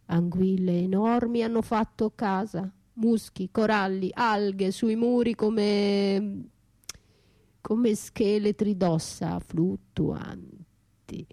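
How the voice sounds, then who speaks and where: An actress playing an anguished, bitterly lyrical battered wife left for dead, in an intimate, halting radio theatre monologue that drifts into whispers. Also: female voice, medium pitch, steady tone, dark tone. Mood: sombre, recounting. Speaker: stage and radio actress performing her own monologue. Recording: roomy echo, faint music behind.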